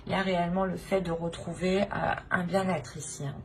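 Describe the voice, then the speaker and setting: Low-pitched woman, noisy tarot reading. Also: little pitch movement, brisk, gravelly. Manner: hesitant, repeats phrases, often ends on "hein". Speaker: tarot reader hosting her channel. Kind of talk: unscripted tarot reading, addressing viewers. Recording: noticeable background noise.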